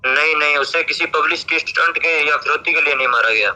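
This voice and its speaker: A man answers interview questions over a phone call in a very sharp, tense voice, pitched a bit high. He is assertive and unapologetic, repeating phrases to press his point. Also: brisk.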